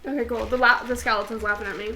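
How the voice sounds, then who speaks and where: A young adult woman co-hosting a podcast in casual chat with a friend, with an animated, exclamatory, candid way of talking and casual swearing. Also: on the high side, rapid-fire, very noisy. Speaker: podcast co-host.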